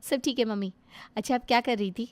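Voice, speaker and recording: A woman voicing a rude, sharp-tongued young daughter-in-law who scolds her mother-in-law. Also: fairly high pitch, fast, no room sound, natural voice. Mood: sweet. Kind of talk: Hindi family drama acting.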